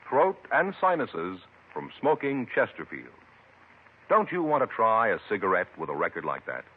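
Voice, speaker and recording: A man with an American accent reading a 1950s radio advertisement as a deliberate, persuasive announcer. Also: mid-range voice, breathy edge. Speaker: commercial announcer. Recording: tinny 1950s radio recording.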